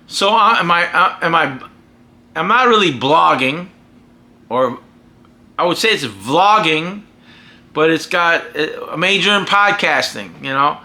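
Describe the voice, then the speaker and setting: A man's voice: on the high side, animated, measured pace, long pauses, bright, forceful tone. An American man holding forth in a casual, opinionated vlog monologue, talking emphatically and rambling with frequent restarts. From United States. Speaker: vlogger and podcaster.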